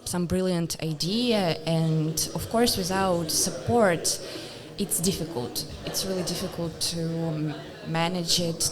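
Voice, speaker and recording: A woman's voice: on the low side, crowd noise. Hesitant young woman with a russian accent. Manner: hesitant, halting, many fillers, laughs often. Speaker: guest, international student. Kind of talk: casual interview chat.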